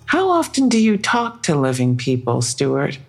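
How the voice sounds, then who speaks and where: No-nonsense female detective: lively intonation, gravelly edge, businesslike audio drama delivery. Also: sits low, measured pace, full character voice. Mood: mildly impatient. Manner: serious, businesslike. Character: no-nonsense police detective, serious and probing. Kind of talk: full-cast audio drama acting.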